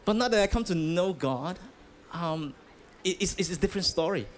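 A man's voice: high-pitched, expressive, normal speed, slight background noise. A man from Malaysia, a pastor, answering interview questions earnestly, with hesitant restarts and fillers, outdoors at a festival. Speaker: pastor, guest.

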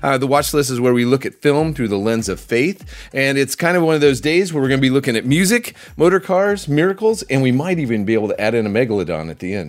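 A man's voice: medium pitch, animated, quick pace, hardly any pauses. A man hosting a film review show in casual, unscripted chat, rambling along and often doubling back on his phrases.

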